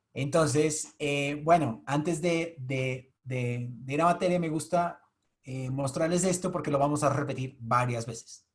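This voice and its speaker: A man's voice: on the high side, a little mellow. A man in his thirties, a native Portuguese speaker with a Portuguese accent, giving an explanatory webinar talk to an audience over a video call. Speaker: guest speaker. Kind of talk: webinar presentation.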